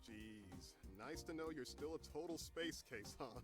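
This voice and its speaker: A man's voice: medium pitch, animated, a little slow, close to an everyday voice. An actor playing a casual, teasing male friend in a visual novel, with a breezy manner. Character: casual teasing male friend, busy film-shoot type. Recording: game music behind the voice.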